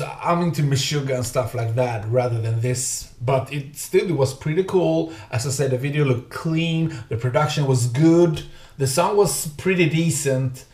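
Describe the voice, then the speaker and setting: A man in his thirties, not a native English speaker, giving a casual music reaction with halting speech and many fillers, recorded on a desk webcam at home. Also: fairly high pitch, a little mellow. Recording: echoey room.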